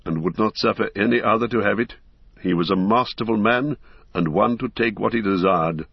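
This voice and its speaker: A wise old wizard, calm and stern, speaking in measured, solemn tones, as a male storybook reader puts him on. The voice is low and the sound is dry and a bit dull. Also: breathy edge, no room sound. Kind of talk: audiobook character voice.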